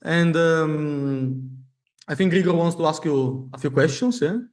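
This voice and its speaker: A man's voice: on the high side, measured pace, darkish tone. Chatty male interview host. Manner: chatty, ends lines with "yeah?". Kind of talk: casual interview hosting.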